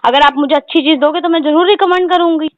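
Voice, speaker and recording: A woman's voice: sits high, fast, no room sound. A woman complaining at length as a customer on a phone call, in an insistent, aggrieved manner. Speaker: customer complaining to a car salesman. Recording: noisy tinny phone line with clicks.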